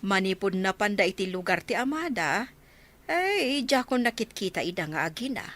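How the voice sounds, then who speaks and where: Woman acting curious mother, animated intonation. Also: mid-range voice, normal speed. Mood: curious, reflective. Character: curious mother of a grown-up daughter. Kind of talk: Ilocano radio drama dialogue.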